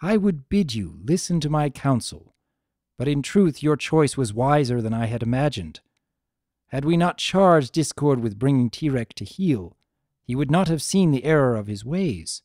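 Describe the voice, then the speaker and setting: A man's voice: medium pitch, expressive, moderate pace, darkish tone, no room sound, clean studio sound, close to an everyday voice. A man voicing a proud, formal pony princess, a concerned younger sister with archaic, emphatic speech in a dramatic story reading. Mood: grave, formal.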